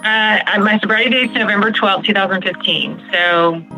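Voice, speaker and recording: A woman's voice: mid-range voice, moderate pace, pushed and bright, lo-fi sound, faint music behind. A woman in her forties from Texas with an American accent, telling her personal story conversationally as a podcast guest. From Texas, United States. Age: 40s.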